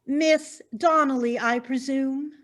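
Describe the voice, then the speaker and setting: A woman acting in a stage farce as a bold, nosy newspaper reporter with a melodramatic, deliberate delivery. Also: sits high, steady tone, fairly bright tone. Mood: confrontational.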